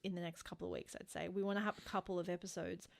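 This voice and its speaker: A woman's voice: lowish voice, level tone, racing, creaky. Casual Australian woman co-hosting a podcast. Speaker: podcast co-host.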